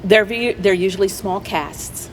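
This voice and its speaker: A woman guest in a conversational interview, explaining things agreeably in halting phrases. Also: mid-range voice, steady tone. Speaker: community theater player, interview guest. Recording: faint noise and background ambience.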